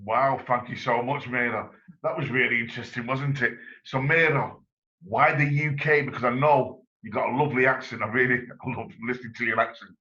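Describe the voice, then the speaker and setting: Bright-voiced man hosting an interview. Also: medium pitch, steady tone, a little fast, highs cut off. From England. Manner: enthusiastic, effusive, rambling questions. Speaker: interviewer, channel host. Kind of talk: online interview.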